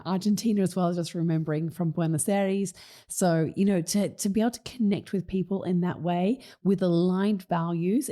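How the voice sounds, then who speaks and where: A woman podcast host talks enthusiastically with her listeners, her voice a little croaky today. Her tone sits on the low side and sounds mellow and warm. She speaks casually, with many fillers. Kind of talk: casual solo podcast talk.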